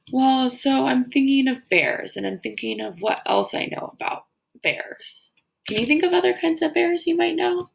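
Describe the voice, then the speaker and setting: A woman teacher doing an educational read-aloud for children, explaining as she reads and pausing to wonder aloud and ask questions. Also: a little high, vocal fry. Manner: explanatory, pauses to wonder aloud and pose questions to young listeners. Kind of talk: educational read-aloud of a children's nonfiction book with think-aloud comments.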